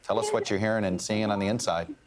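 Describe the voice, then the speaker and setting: A man's voice: lowish voice, steady tone, fast talker, a little hiss or hum, poor audio. A male news anchor putting probing, layered questions to a reporter during a live interview.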